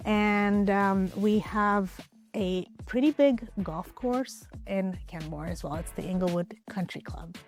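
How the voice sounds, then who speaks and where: A woman from Ukraine talks easily through interview questions as a real estate agent. She is relaxed and conversational, and she often softens her answers with small hedges. Her voice sits mid-range, with soft music faint underneath. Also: medium pitch, slow.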